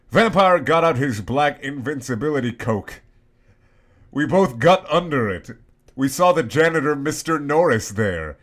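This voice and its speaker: A man giving a comedic dramatic reading of a story in a halting, mock-serious way. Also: medium pitch, fairly bright tone. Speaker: reader.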